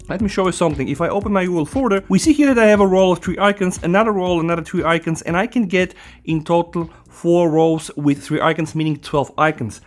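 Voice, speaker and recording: A man with a Bulgarian accent, a native Bulgarian speaker, hosting a casual tech review and talking fast, often checking in with "guys" and "right?". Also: sits high. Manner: chatty, keeps saying "guys" and "right?"; talks fast. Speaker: tech channel host.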